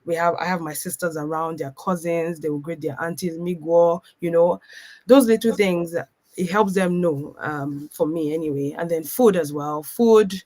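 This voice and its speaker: Here is a woman, joining a relaxed panel discussion over a video call. She talks with lively energy and slips into her children's voices as she tells family stories. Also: lowish voice, normal speed. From Nigeria.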